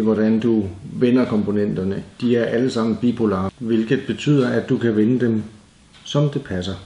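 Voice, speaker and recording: A man's voice: mid-range voice, warm tone. Danish guy explaining a diy job step by step, casual and plain, roomy echo, bit of noise behind. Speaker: DIY presenter. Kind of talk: hands-on tutorial narration, casual. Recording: echoey room, faint background noise.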